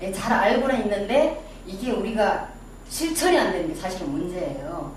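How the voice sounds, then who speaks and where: Chatty lecturer with a Daegu accent. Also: female voice, medium pitch, lively intonation, normal speed. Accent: Daegu Gyeongsang dialect. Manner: chatty, quizzes the audience, self-deprecating asides. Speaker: image-making lecturer. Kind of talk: casual classroom lecture. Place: lecture room with a small audience. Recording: very echoey room, distant mic, background noise.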